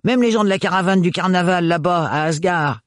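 A man doing a gruff, blustering burly man. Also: sits high, brisk, no echo, clean studio sound, pitched above the performer's own voice, natural voice. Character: gruff burly working man, loyal and rough.

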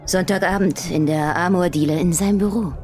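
Actress as provocative nightclub woman, deep for a female, dark mellow tone, coy, sultry, unashamed, radio drama. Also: brisk, faint music behind, lightly acted. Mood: provocative, cool. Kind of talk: German radio drama acting.

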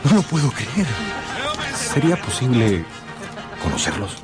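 An actor playing an earnest, hot-headed young swordsman from the provinces in a radio drama, excitable and easily flustered. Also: mid-range voice, a little slow. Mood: awed, nervous. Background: dramatic music.

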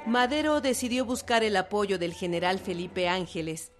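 A woman narrator reads scripted radio history. Her voice sits at a middle pitch, with music playing clearly behind it. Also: fairly even intonation, moderate pace.

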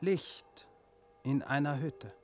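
An actor playing a sly, implacable supernatural soul-collector in a radio verse drama, speaking in a measured, insinuating, theatrical way. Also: male voice, on the high side, a little mellow, slight background noise. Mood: cool, ominous. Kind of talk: 1960s German radio drama verse acting.

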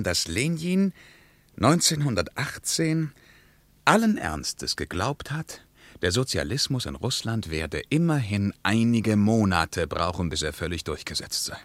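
Ironic intellectual man arguing in a radio play, deep voice, really swings up and down, dry studio. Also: sing-song, a bit bright, no room sound. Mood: emphatic, ironic. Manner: emphatic, rhetorical, deliberate pauses. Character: male traveller, argumentative, ironic intellectual debating politics. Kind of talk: German radio play dialogue.

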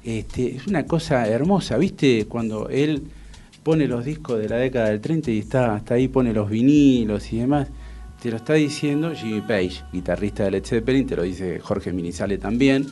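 A man with a Rioplatense Argentine accent hosting a radio interview, telling anecdotes in a casual, animated way and acting out what people said. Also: mid-range voice, moderate pace, faint music behind. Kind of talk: casual chat, storytelling.

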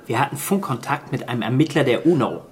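A man's voice: medium pitch, animated, fast, lightly acted. Guy acting a practical survivor in a radio play, dry and factual, mid voice, slight noise. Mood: sober. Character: pragmatic, well-informed island survivor. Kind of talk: naturalistic German radio drama acting.

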